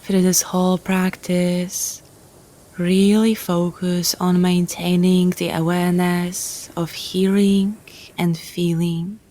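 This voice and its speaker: A woman guiding a relaxation meditation in a calm, gentle, soothing manner, leaving long pauses between phrases. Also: lowish voice. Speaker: yoga nidra guide.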